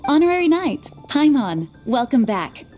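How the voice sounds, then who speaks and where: A woman's voice: high voice, big pitch swings, a little slow. A woman playing a dutiful, composed young knight commander in a video game, polite and formal in manner. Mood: welcoming. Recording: game music behind the voice.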